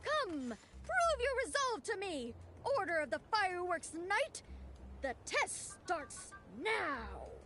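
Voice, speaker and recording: Very high-pitched, pompous game oracle. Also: female voice, sing-song, slow pace, heavy background noise, exaggerated voice. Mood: grand, commanding. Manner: pompous, proclaiming. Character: grandiose theatrical female examiner of a knightly order, solemn and eager. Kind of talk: video game voice acting.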